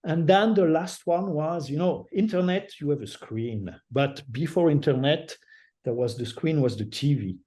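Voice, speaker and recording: A man's voice: on the high side, mellow. Older man with a French accent. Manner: halting, restarts phrases, laughs often. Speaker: guest artist. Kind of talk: casual interview over a call.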